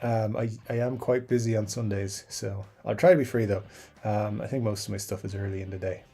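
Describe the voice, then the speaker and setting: A man's voice: fairly low pitch, fairly even intonation, brisk, creaky, warm tone. A man hosting a guitar channel's live stream, reading viewer comments and chatting in a rambling, casual way full of fillers and restarts. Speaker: YouTube guitar channel host.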